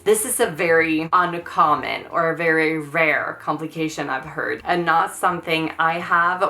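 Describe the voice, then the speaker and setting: A woman's voice: lowish voice, bright, forceful tone. A woman in her thirties with a Swedish accent, answering viewer questions in a casual vlog, hesitant and trailing off with many fillers.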